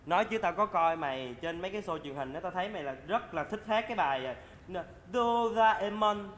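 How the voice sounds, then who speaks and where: A man's voice: sits high, lively intonation, fairly quick, a bit bright, long reverb tail, faint music behind. A young man with a Southern Vietnamese accent hosting a casual, playful comedy talk show. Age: young adult. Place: indoor studio set dressed as an old drinks shop.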